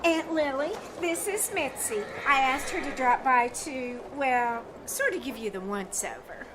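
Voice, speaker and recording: A woman's voice: high-pitched, a little slow. A woman playing a gushing, bossy teenage girl in a live 1940s-style radio comedy, with theatrical, emphatic delivery. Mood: excited, eager. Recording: live small-theater stage recording, background noise.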